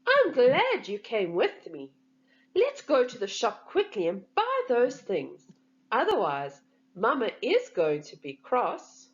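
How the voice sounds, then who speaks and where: A woman voicing an expressive, sociable older sister in a children's storybook, on a slightly dull recording. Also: on the high side, slow, heavily acted. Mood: grateful.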